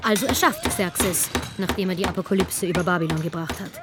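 A woman narrating a German radio drama as a scripted story, in a measured storytelling manner. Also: lowish voice, very noisy, lightly acted. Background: music.

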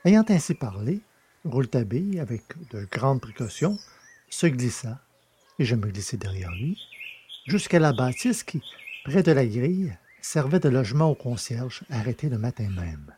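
Male narrator, mid-pitched, soft dark tone, slightly husky, literary audiobook reading. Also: big pitch swings, moderate pace, a little hiss or hum.

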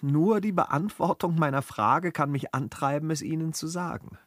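An actor playing a scrupulous, formally spoken gentleman traveller in a classical German comedy for radio, hesitant and deliberating. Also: on the high side, normal speed, dry recording, studio quality, natural voice. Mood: hesitant, guarded. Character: cautious, scrupulous gentleman traveller of noble manners.

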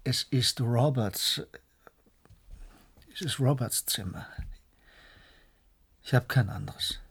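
A man's voice: medium pitch, slow, creaky, a bit bright, husky, exaggerated voice. An actor playing an absent-minded, troubled scientist in a German radio drama, evasive and hesitant, often breaking off and repeating his words. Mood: awkward, preoccupied.

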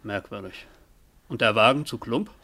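An actor playing a hesitant, matter-of-fact courier in a German radio play, muttering in a politely official manner. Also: male voice, fairly low pitch, moderate pace, sharp tone. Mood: awkward.

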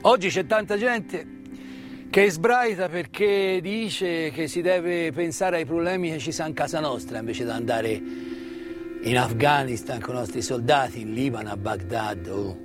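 A man's voice: fairly high pitch, unhurried. A man delivering a theatrical storytelling monologue, emphatic and rhetorical, full of repetition and questions. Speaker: stage narrator and actor. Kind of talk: theatrical monologue, conversational storytelling about wartime history. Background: live original music.

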